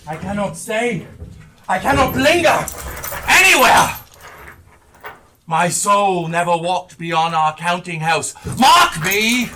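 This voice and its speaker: A man's voice: high-pitched, a little hiss or hum. A man on stage playing the tormented, mournful and stern ghost of a dead businessman, declaiming theatrically. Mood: weary, bleak. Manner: slow, declamatory, breaking into anguished shouts and wails. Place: theatre stage, recorded live. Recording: distant live stage play recording.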